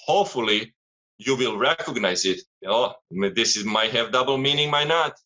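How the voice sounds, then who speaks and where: Bright-voiced man rambling through casual advice. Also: mid-range voice, lively intonation, moderate pace, muffled recording. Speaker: strength coach, guest. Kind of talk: casual chat, giving advice.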